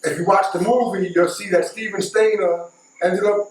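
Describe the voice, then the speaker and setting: A middle-aged man with a fairly high voice spins a casual anecdote. He doubles back and repeats key points for emphasis. The recording is roomy and a bit distant from the mic. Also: steady tone, moderate pace. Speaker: storyteller. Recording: echoey, distant mic, faint noise.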